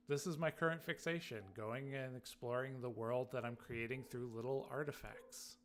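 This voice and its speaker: A man's voice: medium pitch, fairly even intonation, normal speed. A man chatting casually about gaming as a podcast co-host.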